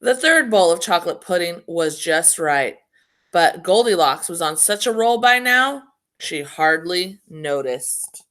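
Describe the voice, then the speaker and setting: Animated woman reading a storybook aloud. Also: on the low side. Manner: playful, tongue-in-cheek, emphatic pauses. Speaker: school storybook reader. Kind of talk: children's storybook read-aloud.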